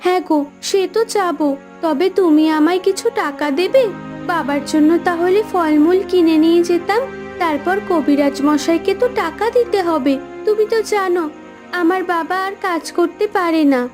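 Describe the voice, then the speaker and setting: A woman playing a devoted, anxious, soft-hearted young married daughter in a cartoon, with an emotional, pleading way of talking. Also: very high voice, moderate pace, soft background music. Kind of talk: Bengali cartoon voice acting.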